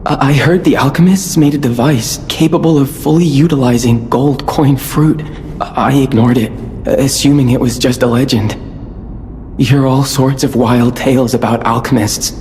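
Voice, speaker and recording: A man playing an urbane, hesitant merchant who was once a farmer, with a stammering, halting delivery in a video game. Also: medium pitch, fairly even intonation, a little mellow, natural voice. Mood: hesitant, earnest. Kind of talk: scripted game cutscene dialogue. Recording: long reverb, background noise.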